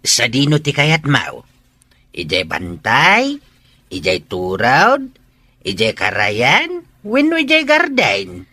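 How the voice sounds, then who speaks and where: Guy acting as old filipino uncle in a radio drama, teasing, really bright voice, slow drawl. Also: mid-range voice, huge pitch swings, breathy edge, no echo, full character voice. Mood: folksy teasing. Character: older village uncle, folksy and teasing with a young woman.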